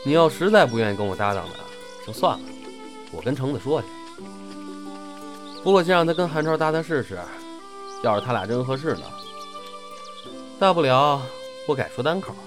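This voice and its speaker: Casting: male actor for a teasing elder-brother apprentice, young, animated delivery, audio drama over soft music. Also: medium pitch, a little fast, pauses often, close to an everyday voice. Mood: hurt. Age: young adult. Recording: background music under the voice.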